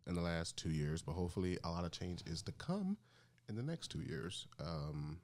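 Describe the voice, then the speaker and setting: A man's voice: low-pitched, very animated, normal speed, darkish tone, a little hiss or hum. A man in his thirties co-hosting a podcast, laid-back and joking, laughing a lot as he chats. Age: 30s. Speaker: podcast co-host. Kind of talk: casual chat.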